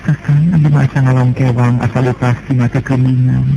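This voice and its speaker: A male storyteller voicing a young wife who is tender, anxious and devoted, with a soft, pleading manner. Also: mid-range voice, flat delivery, a little fast, gravelly, lightly acted. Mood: sorrowful pleading. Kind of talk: Sundanese storytelling. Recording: dull lo-fi sound with music behind.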